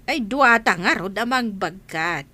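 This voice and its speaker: A woman's voice: medium pitch, animated, unhurried, sharp tone. An actress playing a fretful, scolding village wife and mother in a comedy radio drama, full of complaints. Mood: exasperated.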